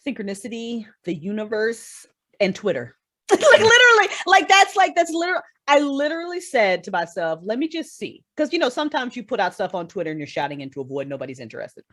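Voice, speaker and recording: A woman's voice: on the high side, big pitch swings, quick pace, fairly bright tone. A woman chatting casually as an interview guest, blunt and emphatic, with slangy phrasing and easy swearing. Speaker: guest, writer and creator of audio dramas. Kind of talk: casual, profane fan chat.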